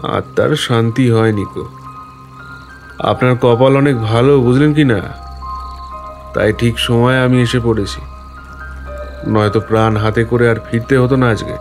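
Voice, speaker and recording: A man's voice: mid-range voice, fairly even intonation, soft background music, close to an everyday voice. An actor playing a mysterious, solemn village man in an audio ghost story, with a cold, rustic way of talking. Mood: grave, warning. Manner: slow, cold-toned, rustic turns of phrase. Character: mysterious pale village man searching at night, eerie and solemn. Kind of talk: audio horror story acting.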